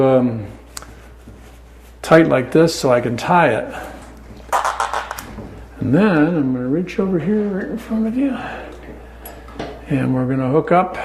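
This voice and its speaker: An older American man explaining his work informally and step by step, in a halting manner. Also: on the high side, darkish tone. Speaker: farm owner and cheese maker. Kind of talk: informal explanation while demonstrating. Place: indoors in a small farm creamery. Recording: clear background noise, working creamery room.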